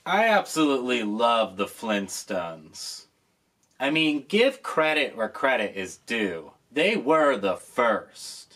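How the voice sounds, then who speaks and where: A man's voice: medium pitch, very animated, takes it slowly, fairly bright tone, a little hiss or hum. A man hosting a nostalgic commentary, talking about an old show with enthusiasm and emphasis. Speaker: host.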